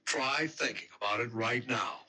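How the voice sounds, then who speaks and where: Exasperated, forceful young American fiancé. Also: male voice, medium pitch, moderate pace, slightly rough, heavily acted. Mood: insistent, pleading. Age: young adult. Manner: urgent, commanding, pleading. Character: exasperated, insistent young fiancé, bossy with his sweetheart. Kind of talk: radio mystery drama acting.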